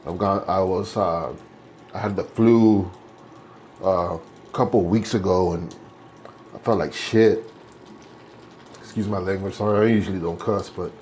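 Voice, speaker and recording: A man's voice: sits low, a little mellow, slight background noise. A man in his late forties chatting casually while shaving, rambling and correcting himself as he goes. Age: 40s. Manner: rambling, self-correcting, laid-back. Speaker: vlogger.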